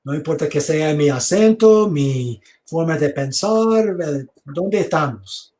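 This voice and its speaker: A man giving an online theology lecture over a video call, speaking slowly, repeating words and inviting questions. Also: fairly high pitch, expressive, a little mellow. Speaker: seminary lecturer.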